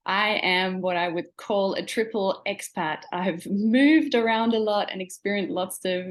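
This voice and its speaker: A woman from Germany, a native German speaker with a slight Australian accent, presenting a webinar in an encouraging, explanatory way and addressing the listener directly. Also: mid-range voice. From Germany, born in Austria. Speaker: webinar presenter, wellness seller.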